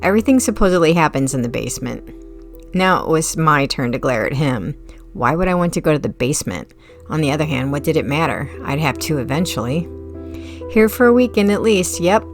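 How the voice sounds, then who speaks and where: A woman's voice: low voice, lively intonation, brisk, soft background music. A woman reading first-person fiction as an audiobook narrator, in a wry, conversational way.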